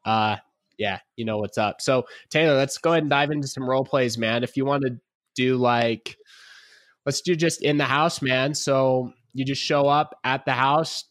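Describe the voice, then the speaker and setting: A man hosting a podcast in casual chat, his voice a bit rough because he is sick, with a laid-back drawl. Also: medium pitch, moderate pace, fairly bright tone, dry recording, studio quality. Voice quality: slightly rough, congested. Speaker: podcast host. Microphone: remote call, each on own mic.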